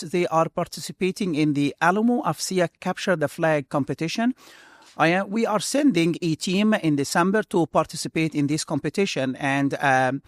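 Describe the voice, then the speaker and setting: Middle-aged egyptian-born professor guy, kinda high voice, halting earnest answers, dead dry room. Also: dry recording. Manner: earnest, halting phrasing with restarts. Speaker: computer science professor, guest. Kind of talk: interview answers.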